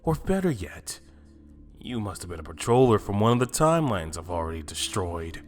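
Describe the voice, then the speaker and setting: A man's voice: on the low side, very animated, normal speed. A man playing an arrogant, mocking villain who destroys timelines, in a theatrical anime fan drama style. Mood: mocking, suspicious. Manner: taunting, theatrical.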